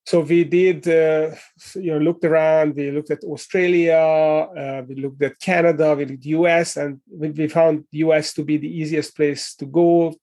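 Hungarian-accented man, fairly high voice. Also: steady tone, normal speed, a little mellow. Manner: thoughtful, many fillers and restarts. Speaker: guest, leadership coach and author. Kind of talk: conversational interview answer.

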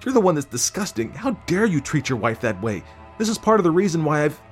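Audiobook wife, played by a male narrator: defiant, indignant, pitched up high with swooping intonation. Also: lively intonation, fairly quick, a little mellow, faint music behind, lightly acted. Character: defiant, exasperated, environmentally minded wife.

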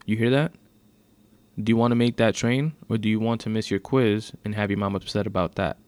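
A man's voice: fairly low pitch, steady tone, a little fast, darkish tone, no room sound, close to an everyday voice. A man voicing a polite, by-the-book male police officer with formal, procedural speech in a narrated story. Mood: insistent.

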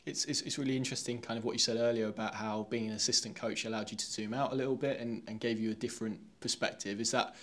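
A man's voice: mid-range voice, steady tone, fast talker, hardly any pauses, fairly bright tone. A man asking long, conversational questions as a sports interviewer. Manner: long, wordy questions with repeated words.

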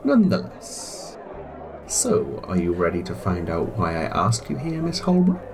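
An actor playing a suave, scheming spy recruiter in an audio drama, courteous and theatrical with formal phrasing. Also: male voice, low-pitched, huge pitch swings, normal speed, music in the background, crowd noise, full character voice. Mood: polite, amused. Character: suave, polite, scheming man recruiting spies.

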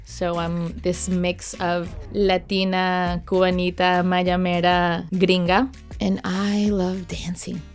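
A woman raised in Venezuela and Miami, a podcast guest telling stories in a lively, animated way. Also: lowish voice, slow pace, soft background music. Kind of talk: interview.

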